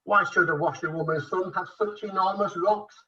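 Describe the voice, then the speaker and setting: A man playing a blustering, henpecked emperor in a rhyming pantomime, with a husky, forceful voice. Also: high voice, steady tone, moderate pace, recorded from a distance, highs cut off, full character voice. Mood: indignant. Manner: blustering, imperious. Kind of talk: rhyming amateur pantomime acting.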